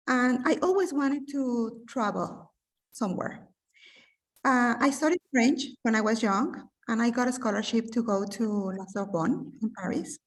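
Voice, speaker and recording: Female guest from Mexico, second-language speaker, animated storyteller with many fillers; distant video call sound. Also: on the high side. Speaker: interview guest, businesswoman. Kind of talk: personal storytelling interview. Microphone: remote video call.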